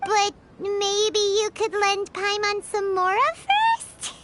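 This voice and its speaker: A woman voicing a tiny, excitable fairy-like girl sidekick in a video game, with a squeaky childlike voice and bubbly manner. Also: slow pace, heavy background noise, exaggerated voice. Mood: eager, cheeky. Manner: refers to self in third person, bubbly. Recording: loud music bed behind.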